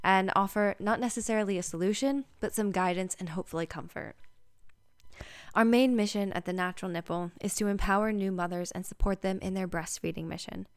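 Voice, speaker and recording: A woman's voice: medium pitch, clear, resonant tone, dry recording, studio quality. A woman narrating a scripted introduction as a podcast host.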